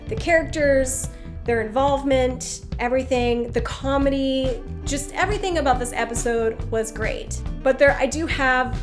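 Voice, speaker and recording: A woman with a slightly high voice talks through a casual review, chatty and frank with her opinions. She sounds congested, as if she keeps needing to clear her throat. Music plays clearly behind her. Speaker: drama review vlogger.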